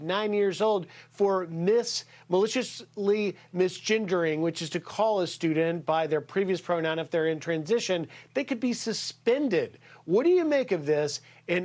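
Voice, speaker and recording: A man's voice: sits high, a little mellow. A man anchoring a TV news segment, introducing a guest and asking pointed questions. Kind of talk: TV news interview.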